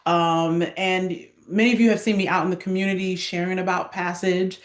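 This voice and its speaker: A woman's voice: fairly low pitch, level tone, normal speed. An American woman speaking conversationally as an interview guest, introducing herself and her work. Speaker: guest, theater advancement director and actress. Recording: echoey room sound.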